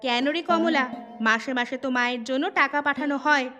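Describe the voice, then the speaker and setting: A woman's voice: high voice, a little fast, natural voice. A woman playing an outspoken daughter-in-law in a cartoon family story, with pointed, emphatic delivery over music. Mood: pointed, needling. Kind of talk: cartoon family drama acting. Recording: music behind the voice.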